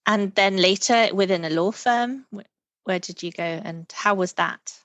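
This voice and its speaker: A woman hosting an interview podcast, reading out the guest's introduction and then asking short, friendly questions. The recording is dry and close, with almost no room sound. Her voice sits at an average pitch. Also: unhurried, fairly bright tone, no echo. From Singapore. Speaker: podcast host.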